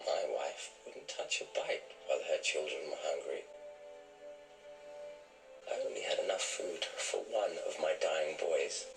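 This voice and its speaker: An actor playing a bitter, grief-stricken villain driven mad by loss in a period TV drama. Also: male voice, extremely low pitch, a little slow, soft background music. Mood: bitter, anguished. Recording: echoey TV audio with score.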